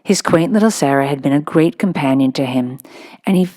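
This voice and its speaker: A woman's voice: low-pitched, brisk, dark tone. A woman reading a children's novel aloud as narrator, in a scripted storybook style.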